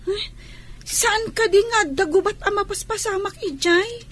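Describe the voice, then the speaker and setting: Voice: very high-pitched, measured pace, a bit bright. A performer playing an anxious, plaintive young child asking questions in a radio drama. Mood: worried, pleading. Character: anxious young child asking after an absent soldier father. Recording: music behind the voice.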